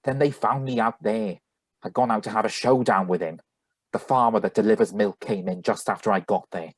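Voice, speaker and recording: A man playing an earnest, worried husband with a husky voice in a live radio thriller reading. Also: on the low side, fairly quick, no room sound, lightly acted. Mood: worried, pleading. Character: Bill, earnest worried husband wrongly arrested for murder.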